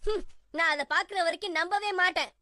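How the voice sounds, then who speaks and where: A woman's voice: very high-pitched, fairly quick, music in the background. A woman voicing a nosy, doubting schoolchild in a children's cartoon, with a probing, teasing manner. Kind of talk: Tamil-dubbed children's cartoon acting.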